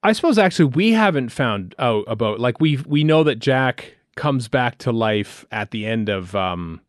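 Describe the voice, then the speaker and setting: A man's voice: mid-range voice, expressive, normal speed, no room sound, studio quality. A man with a Canadian accent co-hosting a podcast in casual, chatty commentary. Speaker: podcast co-host. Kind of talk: casual fan commentary chat.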